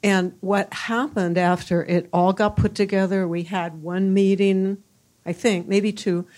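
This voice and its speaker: Older woman, panelist, very slow deliberate speech, reflective, lots of ums. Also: medium pitch, steady tone, a little mellow. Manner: unhurried, reflective, many fillers. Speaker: panelist, former university provost. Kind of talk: panel discussion answer.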